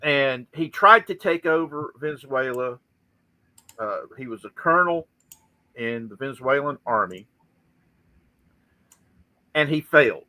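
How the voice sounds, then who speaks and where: A man's voice: mid-range voice, animated, a little slow, pauses often, bright, forceful tone, dry recording, poor audio. A man with a Southern US accent who calls himself a redneck, co-hosting a casual political chat with folksy, crude jokes.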